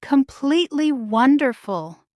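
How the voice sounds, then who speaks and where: A woman voicing a kind, gentle young servant girl with a sweet, earnest manner, in a fairy-tale storybook. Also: high-pitched, expressive, slow, no echo, studio quality, voice pushed up. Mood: wistful.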